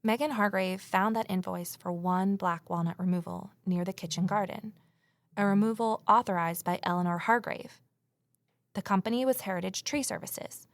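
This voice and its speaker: An actress voices a worried, determined woman investigating a mystery in an audio drama. Her voice sits at a middle pitch with a noticeable gravelly creak. She sounds earnest and inward-looking, in a naturalistic style. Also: normal speed, dry recording, natural voice. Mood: brooding, anxious. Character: Evelyn, anxious, determined amateur sleuth woman. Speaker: lead character and narrator.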